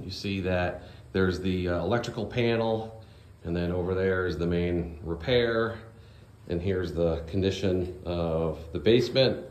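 Low-voiced man in an echoey basement. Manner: relaxed, rambling, many fillers. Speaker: homeowner vlogger. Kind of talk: casual DIY walkthrough. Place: concrete basement, indoors. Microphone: phone at arm's length. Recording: echoey basement with faint hum.

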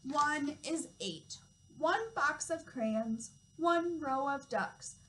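Young female preschool teacher, high-pitched, simple instructive read-aloud delivery for toddlers, noisy room recording. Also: drawn-out words, fairly bright tone. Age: young adult. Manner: simple, instructive phrasing with questions to children. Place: small classroom, indoors.

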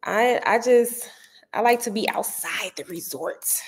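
A woman's voice: medium pitch, measured pace, slightly rough. Chatty woman with a St. Louis accent. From Saint Louis area. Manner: chatty, searches for words, animated. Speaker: vlogger answering viewer comments. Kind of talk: casual chat. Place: home dining room.

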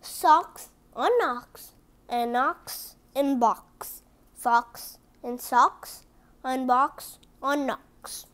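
A woman hosting a children's picture book read-aloud, reading deliberately word by word and chatting to her listeners. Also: high-pitched, fairly bright tone, smooth.

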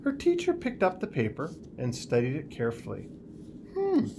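A man reads a children's picture book aloud with deliberate, expressive storytelling in a small office. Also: mid-range voice, vocal fry, noisy background. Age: 50s. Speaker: school superintendent reading aloud.

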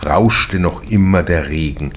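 A man reading a crime novel as an audiobook narrator, in a measured, deliberate manner with careful pauses. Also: low voice, slight background noise.

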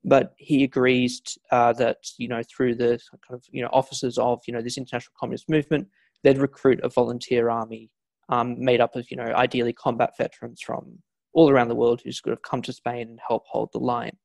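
A man with a gravelly voice answers interview questions as a historian. He talks at length, hesitating and restarting often, and his voice sounds dry and close to the mic. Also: mid-range voice, level tone, brisk, darkish tone, no echo. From not from Scotland; Scottish family. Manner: discursive, many fillers and restarts. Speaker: historian guest.

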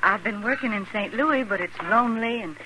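Woman playing polite quiet widow nurse, radio western, bright voice, bit of old recording noise. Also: medium pitch, a little fast, natural voice, rough recording. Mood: polite, reserved. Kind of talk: 1950s radio western acting.

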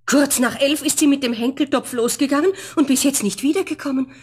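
Actress as a high-voiced, fretting mother. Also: a little fast, darkish tone, lightly acted. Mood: worried. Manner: anxious, fretting. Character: worried woodcutter's wife and mother. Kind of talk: 1970s fairy-tale audio play. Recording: noisy vinyl rip with clicks.

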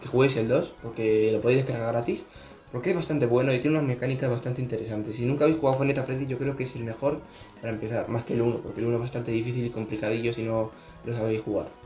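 A man's voice: mid-range voice, fairly even intonation, racing, mellow, soft background music. A teenage boy with a Spanish accent from Spain, chatting casually to camera as a gaming vlogger in his bedroom, jokey and rambling. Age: teens. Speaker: gaming youtuber. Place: bedroom, indoors. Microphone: camera on desk, close framing.

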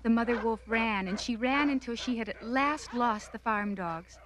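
A woman's voice: fairly high pitch, moderate pace, noisy background. A woman narrating a scripted children's cartoon story.

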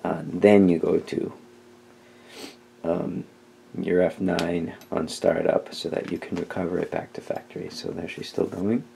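A man's voice: deep voice, level tone, unhurried, gravelly, warm tone, a little hiss or hum. A man hosting a tutorial, talking through steps in a casual, informal how-to style. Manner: informal, step-by-step instruction. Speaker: tutorial host.

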